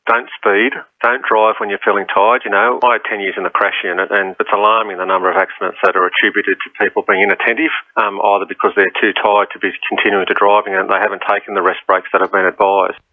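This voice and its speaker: A man's voice: on the low side, flat delivery, fast, few pauses, dry recording. A man with an Australian accent, a police inspector, giving a spoken safety appeal. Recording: tinny phone line.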